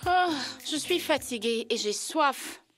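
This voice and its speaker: Curious cartoon girl, slow and super expressive, high voice. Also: music in the background. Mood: puzzled. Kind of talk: animated educational children's cartoon acting.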